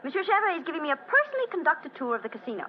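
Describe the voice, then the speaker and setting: Chatty young wife, high expressive voice, light manner, lo-fi vintage radio drama. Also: female voice, very fast. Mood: light, sociable. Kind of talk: old radio drama acting. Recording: lo-fi old radio recording, faint noise.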